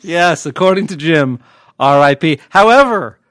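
A man's voice: fairly high pitch, animated, unhurried, fairly bright tone, dry recording, clean studio sound. An American man chatting casually and wryly as a podcast host and TV critic. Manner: wry, joking.

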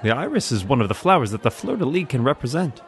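Man acting animated millionaire playboy. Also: medium pitch, quick pace. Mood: explaining. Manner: jaunty, explanatory. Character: genial layabout millionaire playboy, knowledgeable. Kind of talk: old-time radio drama parody acting.

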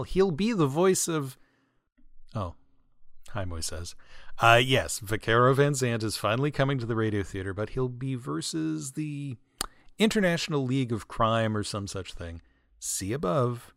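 A man's voice: mid-range voice, dry recording, studio quality. A man hosting a podcast, reading scripted comic corrections and listener mail with asides in a tongue-in-cheek, mock-earnest way.

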